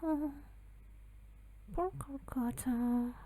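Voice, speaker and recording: A woman giving casual gameplay commentary, with a hesitant manner that turns soft in places. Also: high voice, very slow. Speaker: gameplay video creator. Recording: game music behind voice. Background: game music.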